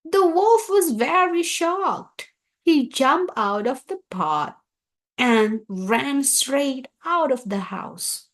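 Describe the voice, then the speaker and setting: Very slow, expressive woman storyteller. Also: high voice. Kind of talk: scripted children's story read-aloud.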